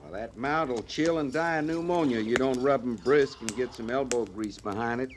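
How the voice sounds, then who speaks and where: A man acting a gruffly teasing cavalry sergeant in a radio western. His mid-pitched voice is laconic and deadpan, with a rural western American accent. The old recording carries a light layer of noise. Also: moderate pace, close to an everyday voice. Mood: gruff, brisk.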